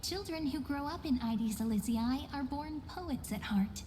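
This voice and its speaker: A woman's voice: high voice, animated, measured pace, noisy background. A woman voicing a playful, dreamy young woman in a video game, speaking in a teasing, lilting, poetic way. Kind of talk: video game character acting. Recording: long hall reverb under clear music.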